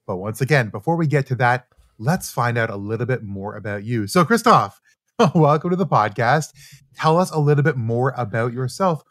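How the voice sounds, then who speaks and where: A man's voice: medium pitch, expressive, moderate pace, a bit bright, no room sound. Casting: man from Canada, podcast host, chatty and upbeat, dry close mic. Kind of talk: podcast interview.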